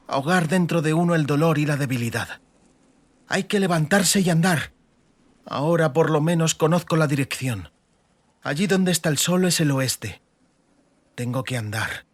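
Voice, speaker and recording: A man voicing an exhausted, determined young girl's inner thoughts in a dramatized audiobook, in a hushed dramatic delivery. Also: fairly high pitch, dry recording.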